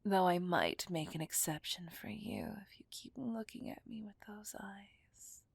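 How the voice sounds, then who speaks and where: A woman's voice: mid-range voice, lively intonation, vocal fry, husky, dry recording, heavily acted. A woman playing a seductive, possessive female villain in a whispered ASMR roleplay, teasing and dominant, speaking breathily close to the microphone. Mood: sly, confiding. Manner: teasing, dominant, drawn-out delivery. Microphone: close microphone, dry sound.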